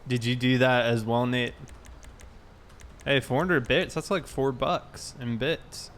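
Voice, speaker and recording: A man's voice: mid-range voice, fairly even intonation, takes it slowly, a bit bright, a little hiss or hum. A man hosting a casual game livestream, thinking aloud and talking informally to his viewers. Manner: informal, thinking aloud while reading chat. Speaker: live streamer, host.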